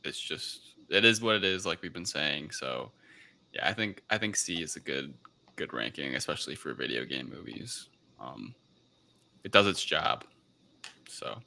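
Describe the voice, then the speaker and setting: A man's voice: rumbling low, expressive, normal speed, vocal fry, pushed and bright. A young man chatting casually as a panelist on a film review podcast.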